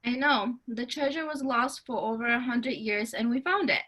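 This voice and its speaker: A woman playing a curious high school girl in an amateur script table read. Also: normal speed, pushed and bright.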